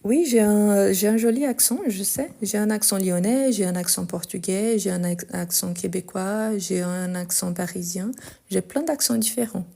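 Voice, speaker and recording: A woman teaching a live online French lesson, speaking slowly and carefully, repeating each sentence and spelling out the verb endings. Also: mid-range voice, vocal fry, warm tone.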